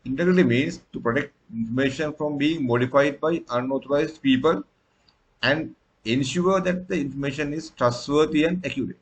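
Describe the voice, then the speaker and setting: Halting Sinhala-native man explaining. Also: fairly high pitch, poor audio. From Sri Lanka. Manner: halting, frequent "uh" fillers and restarts. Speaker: instructor. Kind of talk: recorded tutorial lecture.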